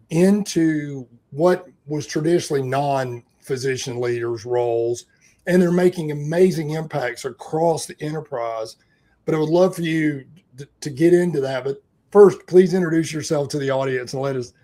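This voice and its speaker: A male host with a mellow, rather high voice introduces his guest and asks long, winding questions. He sounds eager and encouraging, circling back with restarts as he talks. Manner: enthusiastic, rambling questions. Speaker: podcast host. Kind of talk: interview hosting.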